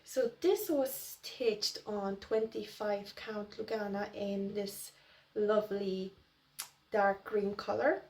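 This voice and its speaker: A woman's voice: mid-range voice, fairly even intonation, slow pace, a little mellow, recorded from a distance. A woman in her early thirties from Poland, chatting casually to viewers as host of a craft vlog.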